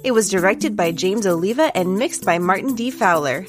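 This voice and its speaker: A woman's voice: medium pitch, expressive, moderate pace, faint music behind. A woman announcer reading scripted show credits with dry humour.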